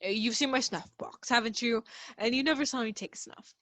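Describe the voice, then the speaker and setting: A woman playing a genial, fussy gentleman doctor in a radio play, chatty and avuncular with hesitant little pauses. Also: on the high side, dry recording, lightly acted. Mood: genial, confiding.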